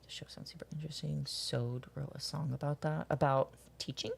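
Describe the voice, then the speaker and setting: A woman's voice: deep voice, fairly even intonation, fairly quick, vocal fry, breathy edge. A woman in her twenties chatting casually with viewers, rambling, swearing and laughing often. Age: 20s. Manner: rambling, profane, laughs often, answers chat. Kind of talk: casual chat while drawing.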